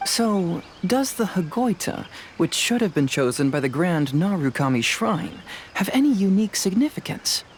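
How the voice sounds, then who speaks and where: A woman playing a calm, confident young detective in a video game, speaking in a composed, articulate, deliberate way. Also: on the low side, a little hiss or hum. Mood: analytical.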